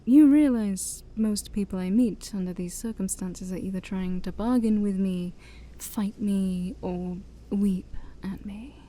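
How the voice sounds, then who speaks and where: A woman playing an ancient demon princess, haughty yet shy, in a soft-spoken ASMR roleplay that often drops to a whisper. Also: medium pitch, a little slow, natural voice. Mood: curious, haughty. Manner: formal, teasing, hesitant, sighing.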